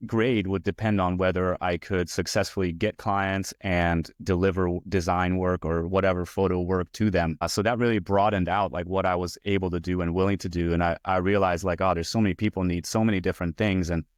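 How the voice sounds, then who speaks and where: A man from Hungary in his forties, a guest talking through his career in a relaxed, rambling way with lots of fillers. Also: low-pitched, a little fast, hardly any pauses, dry recording, clean studio sound. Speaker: guest, VP of user experience. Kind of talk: casual interview talk.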